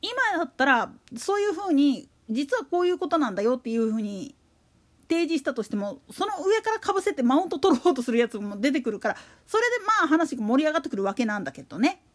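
A woman's voice: high-pitched, sing-song, fast. A woman hosting a solo podcast, talking casually in chatty, slangy, colloquial speech. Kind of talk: casual monologue about a radio announcer.

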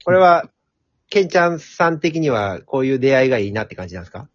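A man chatting casually in a polite, halting way after a show. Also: medium pitch, very animated, dry recording. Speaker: amateur voice actor. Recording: dull lo-fi recording.

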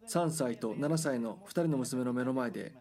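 A man reading scripted documentary drama narration in a measured, serious news-report manner. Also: mid-range voice, a little mellow.

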